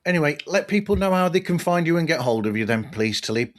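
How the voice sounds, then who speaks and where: A man's voice: on the high side, animated, fast talker. A man hosting a podcast, introducing and interviewing guests in a conversational way.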